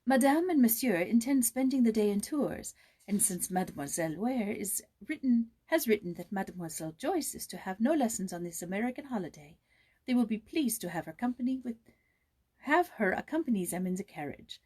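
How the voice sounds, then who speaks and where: A woman's voice: fairly high pitch, big pitch swings, a little fast, a little mellow, slightly rough, voice pushed up. A woman voicing a polite French household maid from a storybook, speaking in a formal, careful way.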